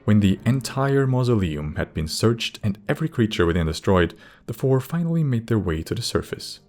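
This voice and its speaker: A man's voice: on the low side, very animated, normal speed, darkish tone, soft background music. A man narrating a scripted fantasy story as an audiobook, reading descriptive third-person prose.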